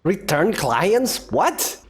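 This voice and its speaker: Low-voiced woman doing bemused gameplay commentary. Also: big pitch swings, crowd noise. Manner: halting, bemused, repeats words. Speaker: let's-play host.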